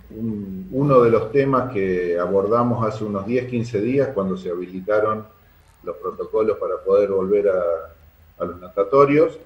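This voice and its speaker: Argentine guy getting interviewed, kinda low voice, hesitant, really echoey room. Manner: hesitant, searching for words. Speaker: sports club secretary being interviewed. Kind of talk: spontaneous interview answers. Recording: dull, echoey room sound.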